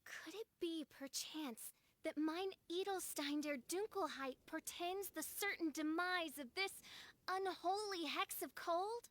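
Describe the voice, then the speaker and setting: A woman's voice: very high-pitched, a little slow, a bit bright. A woman playing a theatrical, gloomy young princess who speaks in florid, pompous, archaic phrases in a video game. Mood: haughty.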